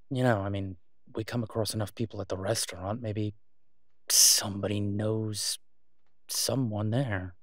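A man playing a worried, devoted boyfriend in an audio roleplay, speaking haltingly and earnestly, as in intimate conversation. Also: on the low side, no echo, studio quality, lightly acted. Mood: gentle, tentative.

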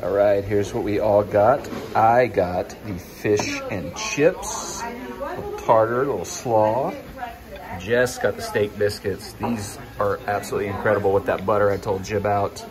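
A man's voice: lowish voice, lively intonation, measured pace. A man in his thirties chatting casually to a handheld phone as a vlogger, in a jokey, teasing way. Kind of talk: casual vlog chat. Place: small pub booth. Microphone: handheld phone camera. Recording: busy restaurant chatter behind.

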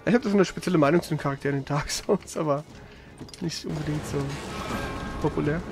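Male let's play streamer, high voice with gravelly creak, slangy casual commentary over music. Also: fast. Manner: colloquial, slangy, mild swearing. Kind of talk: casual gameplay commentary and chat.

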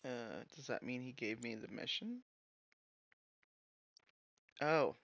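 A man streaming a video game, chatting casually and slowly, sometimes mildly frustrated with the game. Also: medium pitch, expressive, fairly bright tone. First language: English. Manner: slow, musing, mildly frustrated. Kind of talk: casual gameplay commentary.

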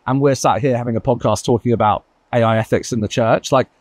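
A man speaking as a guest on a roundtable panel, talking quickly and emphatically with lots of asides. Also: medium pitch, fairly even intonation, no room sound. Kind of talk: panel discussion.

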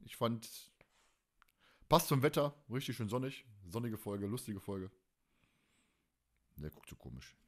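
A man's voice: medium pitch, very animated, measured pace, long pauses. A man hosting a casual fan podcast, colloquial and teasing, with plenty of opinions. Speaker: podcast host.

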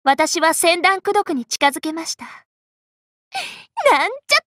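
A woman voicing a cheerful, bossy, scatterbrained young female Buddhist monk in an anime game, boastful and childlike in an expressive, exclamatory way. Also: extremely high pitch, measured pace, dry recording, studio quality, full character voice. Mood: pleased, playful. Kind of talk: Japanese anime game character voice lines.

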